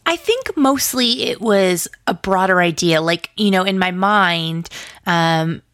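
A woman's voice: lowish voice, animated, slow pace, a bit bright, no room sound, clean studio sound. A woman speaking casually as an interview guest, in informal, hesitant phrasing peppered with "like". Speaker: guest, audio drama co-creator and writer.

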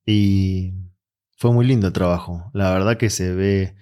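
A man with an Argentine accent, a mental coach answering interview questions in a relaxed, reflective way. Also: low-pitched, unhurried. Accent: Argentine Spanish. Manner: relaxed, reflective, with frequent fillers.

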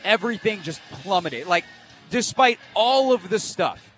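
Animated sports radio host, man. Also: high voice, faint music behind. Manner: fast, animated, emphatic. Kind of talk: live sports radio talk. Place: live event venue.

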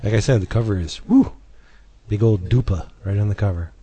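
A man's voice: on the low side, fairly quick, mellow, husky, no echo. A man hosting a comic book podcast in casual chat, rambling and correcting himself as he goes. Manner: rambling, self-correcting, laughs often. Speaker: podcast host.

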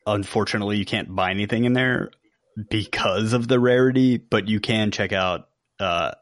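Man, lower-pitched voice, casual podcast co-host, dry close sound. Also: normal speed, no room sound. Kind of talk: casual chat.

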